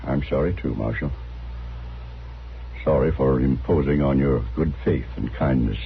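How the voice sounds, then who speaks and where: An actor playing a weary, remorseful widower who speaks haltingly and mournfully in a radio drama. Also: male voice, rumbling low, gravelly, exaggerated voice. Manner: halting, mournful, formally apologetic. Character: broken, remorseful widowed husband, weary and resigned. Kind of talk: 1950s radio drama acting. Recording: dull vintage radio recording, faint noise.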